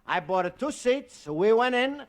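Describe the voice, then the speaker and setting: An actor playing a cheerful, naive Italian immigrant in a 1950s radio comedy, speaking accented broken English with extra vowels. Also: male voice, extremely high pitch, big pitch swings, a little slow, exaggerated voice. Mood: exasperated. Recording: noisy old radio recording with clicks.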